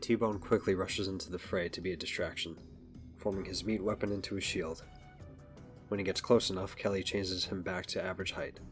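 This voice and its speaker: A man's voice: sits low, steady tone, fairly quick, fairly bright tone, soft background music. A man narrating a scripted action story as the narrator of an audio drama.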